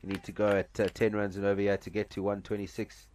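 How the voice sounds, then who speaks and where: A man from South Africa with a South African accent, giving conversational, somewhat halting live cricket commentary. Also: lowish voice, monotone, background noise. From Cape Town, South Africa. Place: outdoors at a cricket ground.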